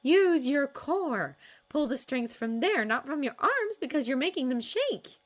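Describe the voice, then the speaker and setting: A woman's voice: sits high, big pitch swings, measured pace, voice pushed up. A woman voicing a bossy, teasing young woman who coaches archery, in a fan fiction reading. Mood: instructive. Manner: teasing, instructive.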